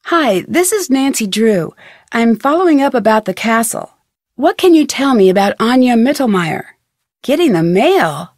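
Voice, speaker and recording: An actress with an American accent playing a curious, friendly young woman detective in a video game, chipper and clearly scripted. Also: on the high side, sing-song, normal speed, darkish tone, no echo, clean studio sound. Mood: curious, polite. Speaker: video game heroine and tutorial guide. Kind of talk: scripted game dialogue and tutorial narration.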